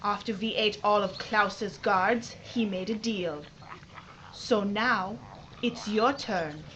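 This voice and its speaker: A woman's voice: mid-range voice, slow pace, fairly bright tone, dull audio, a little hiss or hum. A woman voicing a seductive, menacing, hungry female vampire in an audio drama. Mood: menacing, mocking.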